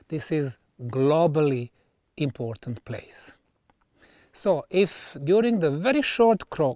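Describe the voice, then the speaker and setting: A man who speaks English as a second language gives an educational talk, phrasing deliberately with emphatic pauses. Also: on the high side, darkish tone, no echo, studio quality. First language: not English. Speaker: researcher and presenter. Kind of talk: educational talk on archaeology, delivered on site.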